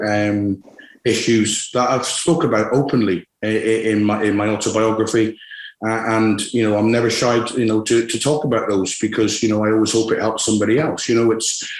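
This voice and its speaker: A man's voice: lowish voice, reverberant, poor audio. A Scottish-born man in his forties with a West Country accent, a football manager speaking frankly and reflectively in an interview, with frequent restarts and fillers. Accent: West Country English. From Scotland. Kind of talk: candid interview.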